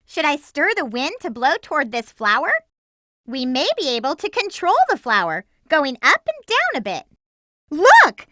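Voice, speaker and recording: A woman voicing an excitable, determined young girl heroine in a video game, with a girlish, perky, emphatic delivery. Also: no echo, clean studio sound, full character voice. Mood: perky, curious. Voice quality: girlish, high-pitched. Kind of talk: animated video game character voice lines.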